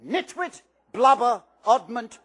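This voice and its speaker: A man's voice: extremely high pitch, animated, takes it slowly, fairly bright tone, voice pushed up, full character voice. A man voicing an elderly, beaming wizard headmaster who speaks in a grand, whimsical way. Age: older.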